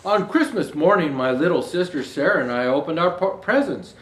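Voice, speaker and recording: A man's voice: on the high side, brisk. A man reading a children's Christmas story aloud expressively as a storyteller, adding casual asides. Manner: expressive, raises voice for quoted speech. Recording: roomy echo, faint background noise.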